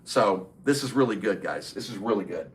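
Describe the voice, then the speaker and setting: A man's voice: lowish voice, expressive, normal speed. A middle-aged man, hosting a casual livestream and chatting with viewers, reading their comments aloud and answering them. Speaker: livestream host. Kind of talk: casual live stream chat.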